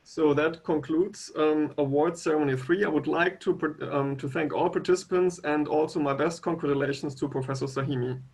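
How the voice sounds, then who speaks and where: A man's voice: fairly high pitch, fairly even intonation, brisk, creaky, hardly any pauses, darkish tone, husky. A man chairing the session who gives brief formal closing remarks.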